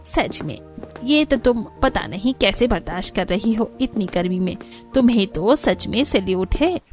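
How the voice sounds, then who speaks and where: A woman's voice: medium pitch, animated, normal speed, darkish tone, soft background music, lightly acted. A woman voicing a sympathetic young neighbour in a cartoon story, chatty and complaining. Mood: chatty griping. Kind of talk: cartoon story character dialogue.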